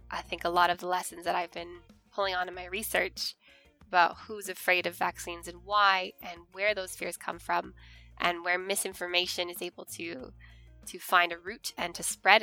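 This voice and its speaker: A woman's voice: on the low side, gravelly, sharp tone. A young Canadian woman with a Canadian accent, chatting casually and earnestly about her academic research. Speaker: history researcher, co-host.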